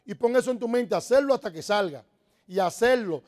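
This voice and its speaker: A man's voice: high voice, lively intonation, fairly quick, darkish tone. A man from the Republic, giving an emphatic motivational business talk to a live audience, repeating his key points. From Dominican Republic. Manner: emphatic, repetitive, preaching-like exhortation. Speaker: network marketing motivational speaker.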